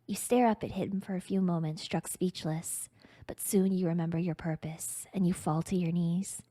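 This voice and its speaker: Theatrical woman with a lower-pitched voice. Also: fairly even intonation, normal speed, dark tone, no room sound. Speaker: streamer reading fan fiction aloud. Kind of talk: dramatic story narration.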